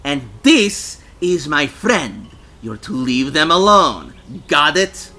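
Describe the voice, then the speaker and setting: A man's voice: on the high side, very animated, slow pace, soft background music, voice pushed up, heavily acted. A man voicing a fierce, protective woman activist who talks bluntly, in a narrated story. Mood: furious. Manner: forceful, blunt, commanding.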